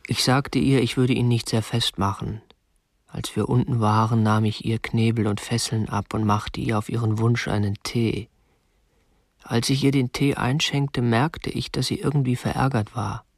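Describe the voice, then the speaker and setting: A man's voice: medium pitch, level tone, normal speed, dry recording, clean studio sound, lightly acted. An actor playing a prim, unremarkable man in his twenties, a captor narrating matter-of-factly in a radio drama. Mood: stiff, matter-of-fact. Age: 20s. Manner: matter-of-fact, stiffly proper. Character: prim, unremarkable 27-year-old male captor, petty-bourgeois butterfly collector. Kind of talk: first-person narration and dialogue in a radio drama. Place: dry studio.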